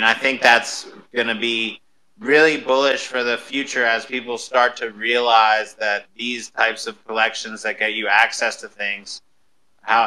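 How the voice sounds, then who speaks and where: Bright, punchy man hosting casually. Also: medium pitch, low-quality recording. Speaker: host of an audio panel, music performer. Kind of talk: casual promotional panel talk.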